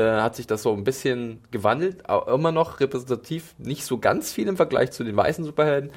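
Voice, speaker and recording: A man hosting a casual film podcast, speaking in a hesitant way with many fillers and restarts. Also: on the low side. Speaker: podcast host.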